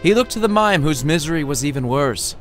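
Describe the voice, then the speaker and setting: A man's voice: on the high side, animated, a little fast. A man reading his own short story as scripted narration, with a dramatic, playful storytelling delivery. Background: music under the voice.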